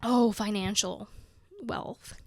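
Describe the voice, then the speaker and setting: A woman's voice: medium pitch, fairly even intonation, slow pace, dry recording, clean studio sound. A woman in her twenties chatting casually as a vlogger, giggling often and filling her talk with "like.". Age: 20s. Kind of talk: casual chat to camera.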